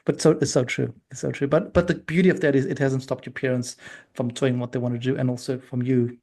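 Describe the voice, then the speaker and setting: Quick-talking, mellow-voiced male podcast host. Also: mid-range voice, flat delivery. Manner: informal, affirming. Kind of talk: casual conversational interviewing.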